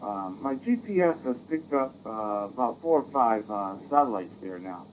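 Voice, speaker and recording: An American man chatting casually as an amateur radio operator, rambling and halting, heard through a digital radio transmission. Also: on the low side, very animated. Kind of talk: casual radio contact chat. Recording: dull, noisy digital radio audio.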